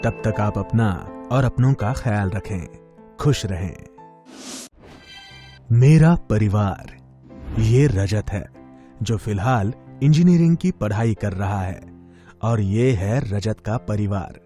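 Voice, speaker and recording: A man's voice: on the low side, slow pace, pauses often, warm tone, soft background music. A man reading scripted narration for a moral story as its narrator.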